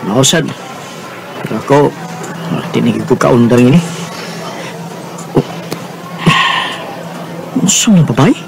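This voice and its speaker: An actor playing a casual, joking office buddy in a radio drama, with a Filipino accent. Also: male voice, medium pitch, lively intonation, slow pace, vocal fry, long pauses. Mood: casual, concerned. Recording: noisy, with ambience behind.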